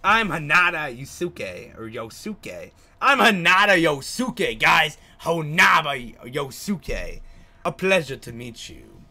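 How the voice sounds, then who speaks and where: A man's voice: on the high side, very animated, takes it slowly, bright, forceful tone, heavily acted. A man voicing a chatty, friendly young country taxi driver in a visual novel. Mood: friendly introduction. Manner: effusive, chatty. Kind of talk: visual novel dialogue read aloud.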